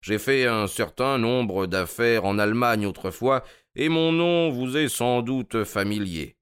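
Un homme qui joue, pour un livre audio, un détective anglais d'âge mûr, suave, courtois et moqueur. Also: male voice, medium pitch, fairly bright tone, clean tone, dry recording, studio quality, pitched above the performer's own voice, natural voice. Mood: playfully smug. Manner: amused, courteous, drawn-out mocking delivery. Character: suave, wry older English detective, gloating politely.

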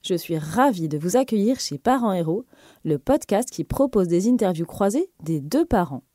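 A woman's voice: medium pitch, big pitch swings, normal speed, warm tone, dry recording, clean studio sound. A woman hosting a podcast interview, asking friendly questions with small hesitations and laughing easily. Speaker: podcast host.

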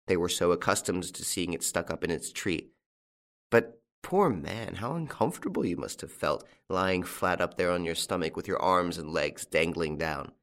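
A man voicing a cheerfully mocking, self-assured gentleman in an audiobook, with a teasing, theatrical manner. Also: medium pitch. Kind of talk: audiobook character acting.

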